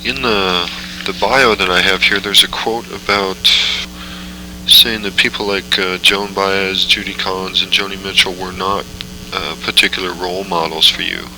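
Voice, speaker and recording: A male interviewer with a very low voice and a bright, sharp tone. He puts his questions tentatively and often reworks them mid-sentence. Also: flat delivery, normal speed, a little hiss or hum, rough recording. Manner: hesitant, rephrases his questions.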